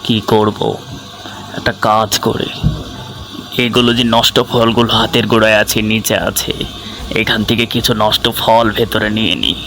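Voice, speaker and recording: A man's voice: on the low side, level tone, normal speed, noisy background, natural voice. A man voicing a sly, cocky cartoon villager who muses aloud and connives. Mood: conniving. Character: sly, scheming male villager, cocky and careless. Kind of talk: acted cartoon monologue.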